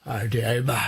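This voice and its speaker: Creaky, halting, bashful village man. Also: mid-range voice. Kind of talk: Philippine radio drama acting. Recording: noisy with clicks.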